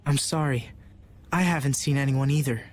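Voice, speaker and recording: An actor playing an earnest, compassionate young noble in a video game, speaking formally and gravely. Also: male voice, mid-range voice, normal speed, soft background music, close to an everyday voice. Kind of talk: voice acting in an English video game cutscene.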